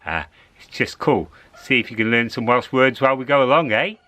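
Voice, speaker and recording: A man telling a story to children in an expressive, encouraging way, repeating phrases for dramatic suspense. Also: medium pitch, a little fast.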